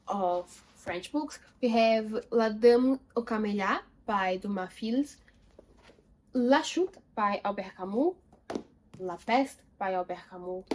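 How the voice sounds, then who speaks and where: A woman's voice: fairly high pitch, darkish tone, a little hiss or hum. Chatty young woman. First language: not English. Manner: chatty, listing titles, self-deprecating asides. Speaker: book vlogger. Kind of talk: casual book haul chat.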